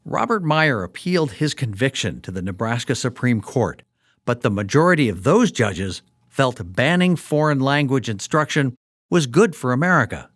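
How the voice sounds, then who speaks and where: A man hosting a history podcast, narrating in a clear storytelling manner. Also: mid-range voice, very animated, normal speed, a bit bright, no room sound, clean studio sound. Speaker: podcast host. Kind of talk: scripted documentary narration.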